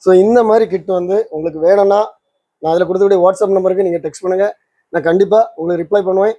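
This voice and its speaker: A man's voice: high-pitched, steady tone, fast, dark tone, no room sound, dull audio. A man hosting a casual product demonstration, explaining things and mixing in English words. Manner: explanatory, mixes English words into his talk. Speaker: channel host and electronics maker demonstrating a kit.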